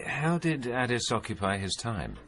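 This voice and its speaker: An actor playing a sceptical gentleman who questions others in a dry, ironic manner. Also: medium pitch, very animated, normal speed. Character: cool, sceptical gentleman male investigator. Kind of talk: period radio drama acting.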